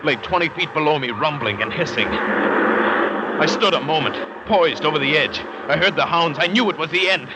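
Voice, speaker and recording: A man's voice: on the high side, moderate pace, very noisy, lightly acted. An actor playing a hunted big-game hunter who narrates his escape tensely and speaks clipped and defiant in 1940s radio drama style. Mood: desperate. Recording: dull old radio broadcast with music.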